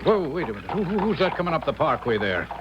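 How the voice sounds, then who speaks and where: Excitable Irish squire, swooping pitch. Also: male voice, fast. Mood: agitated, grim. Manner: stammering, excitable, theatrical. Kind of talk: 1970s radio drama acting. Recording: dull, noisy 1970s radio broadcast.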